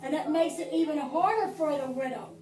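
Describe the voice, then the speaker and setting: A woman's voice: high-pitched, a little fast, music in the background. A middle-aged woman reading a Bible story to preschool children in a church classroom, with prompts for them to join in. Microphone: far camera. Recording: echoey room from a distance.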